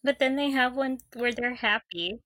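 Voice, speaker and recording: A woman's voice: sits high, normal speed, fairly bright tone, no room sound. A young woman co-hosting a casual fan podcast, talking in a giggly, gushing way. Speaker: podcast co-host.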